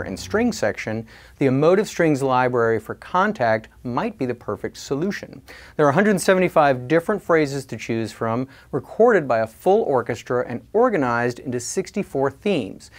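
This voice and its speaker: Scripted product-demo presenter over music. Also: mid-range voice.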